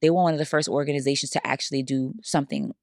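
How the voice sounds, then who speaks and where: A woman's voice: a bass voice, steady tone, rapid-fire, darkish tone, no echo, clean studio sound. A woman hosting a casual conversation, effusive and encouraging in how she talks. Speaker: podcast host.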